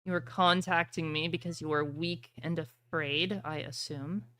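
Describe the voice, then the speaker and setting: A woman's voice: sits low, steady tone, bright, forceful tone, no room sound. A woman voicing a huge horned male warlord, grandiose and contemptuous, in a tabletop roleplaying game. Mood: scornful. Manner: grandiose, sneering, deliberate.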